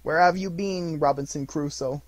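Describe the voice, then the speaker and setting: A man's voice: a little high, moderate pace, no room sound, voice pushed up, lightly acted. A man voicing an old miser who exclaims between laughing and crying, in a dry recording. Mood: ecstatic.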